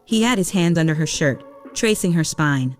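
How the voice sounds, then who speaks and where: A woman's voice: low-pitched, expressive, moderate pace, soft background music. A woman reading scripted story narration for an audio drama.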